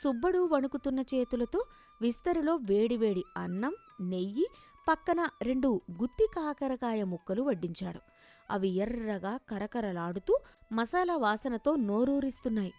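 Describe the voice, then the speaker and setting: High-voiced woman storyteller over music. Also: very animated, moderate pace, darkish tone. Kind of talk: scripted moral story narration.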